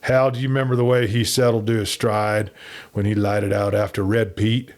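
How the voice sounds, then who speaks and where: A man playing a gruff, yearning cowboy outlaw. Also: flat delivery, moderate pace, darkish tone, close to an everyday voice. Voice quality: bass, growling.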